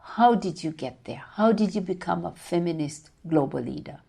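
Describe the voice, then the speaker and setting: A woman with a low, gravelly voice leads the interview with deliberate, respectful questions. Her tone is dark and mellow. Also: animated.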